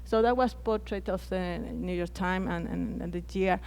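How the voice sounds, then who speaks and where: A woman's voice: on the low side, fairly even intonation, normal speed, vocal fry, darkish tone, rough recording. A Chilean woman whose first language is Spanish, speaking on a conference panel with frequent hesitations and restarted words. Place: conference plenary hall.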